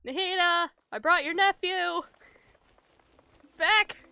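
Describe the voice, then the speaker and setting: Bright high-voiced young woman streamer. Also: slow pace, vocal fry, dry recording, muffled recording. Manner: jokey, affectionate, slangy, sweary. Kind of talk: casual livestream game commentary.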